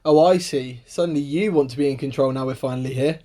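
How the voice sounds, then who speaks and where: A woman's voice: rumbling low, fast talker, dark tone. A woman acting a cocky, sceptical young adventurer with sarcastic banter in an amateur radio play. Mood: cocky, dismissive. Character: cocky, sceptical, bold young adventurer who loves to mock.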